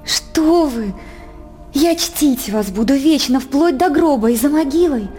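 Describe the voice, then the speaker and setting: An innocent young princess in a radio verse drama. Her voice is fairly high, and she declaims with formal, modest earnestness. Faint music plays behind her. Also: expressive, measured pace, dark tone. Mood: earnest, devoted.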